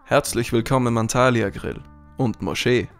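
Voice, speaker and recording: A man's voice: mid-range voice, steady tone, moderate pace, soft background music, natural voice. A man playing a friendly but shady kebab shop owner in a parody radio play. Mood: genial.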